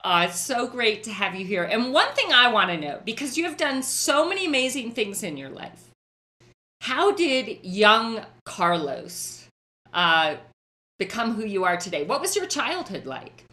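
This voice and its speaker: A woman's voice: a little high, very animated, measured pace, sharp tone. A woman hosting a podcast who interviews her guest with effusive praise. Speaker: podcast host.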